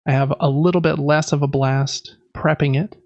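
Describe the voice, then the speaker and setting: A man hosts a casual podcast with a gravelly, slightly raised voice. He rambles conversationally and pokes fun at himself. The recording is dry and a little muffled. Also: a little high, steady tone, normal speed, a little mellow, dry recording. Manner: rambling, self-deprecating. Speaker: podcast host. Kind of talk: casual chat about games and life.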